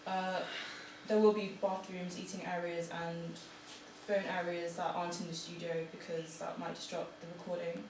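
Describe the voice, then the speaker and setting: A young woman giving an informal student presentation in a halting, hesitant way. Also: lowish voice, level tone. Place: room in front of an audience. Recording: echoey, noisy room, distant mic.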